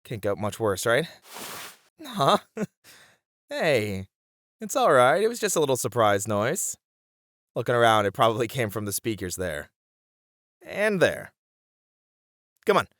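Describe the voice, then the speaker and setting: Man acting a playful, protective boyfriend, very animated. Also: medium pitch, a little slow, pauses often, dry recording, studio quality. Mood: startled, reassuring. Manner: teasing, chuckling, conversational reassurance to one listener. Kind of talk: ASMR boyfriend roleplay acting.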